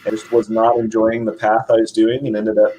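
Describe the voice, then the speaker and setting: A man's voice: on the low side, completely flat delivery, brisk, warm tone, slight background noise, poor audio. A man from Idaho, an admissions counselor, telling his story in an easygoing, plainspoken way from his office over a video call. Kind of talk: interview answers.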